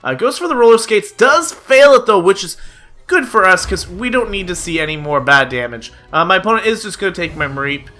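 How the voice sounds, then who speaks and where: A man's voice: high-pitched, lively intonation, a little fast, pushed and bright. A young man giving chatty play-by-play commentary on a card game, slightly nasal from a cold, with lots of "uh" fillers. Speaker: gaming YouTuber. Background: background music.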